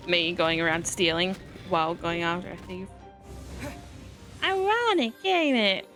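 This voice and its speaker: A woman's voice: fairly low pitch, lively intonation, unhurried, fairly bright tone. A woman streaming a video game with casual, wry, muttered commentary. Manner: offhand muttering, wry asides. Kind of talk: casual livestream gameplay commentary. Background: game music.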